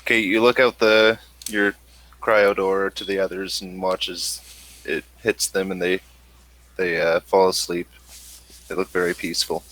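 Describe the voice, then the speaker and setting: A man with a low voice narrates a tabletop game as game master. He speaks very slowly, in short halting phrases with pauses between them. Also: flat delivery, a bit bright.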